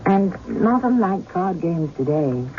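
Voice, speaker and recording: A man's voice: sits high, very animated, takes it slowly, background noise. A man narrating a scripted radio drama as host in a theatrical, suspenseful manner, on a muffled broadcast with music behind.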